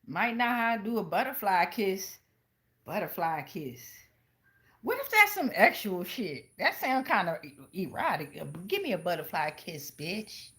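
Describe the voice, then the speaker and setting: A woman's voice: mid-range voice, very animated, unhurried, a bit bright. An American woman giving a casual live tarot reading in a slangy, profane, rambling way. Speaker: tarot reader, channel host.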